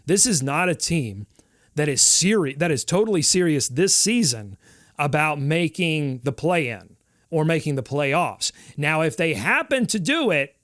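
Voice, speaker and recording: A man's voice: on the high side, sing-song, unhurried, a bit bright, no echo, studio quality. A male sports podcast host talking in a loose, rambling way, playfully dramatic about his team's woes. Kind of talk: casual sports talk.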